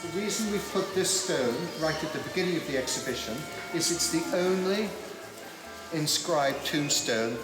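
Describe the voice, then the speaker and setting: Brief: male tour guide, higher-pitched, strongly reverberant hall, music over it. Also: lively intonation, normal speed. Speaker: museum guide. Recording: very echoey hall, loud music.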